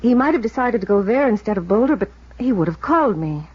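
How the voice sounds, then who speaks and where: An actress playing the anxious, guarded American wife of a missing man, halting with restrained worry, in 1950s radio drama style. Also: fairly high pitch, animated, breathy edge. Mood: worried, defensive. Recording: dull vintage radio recording.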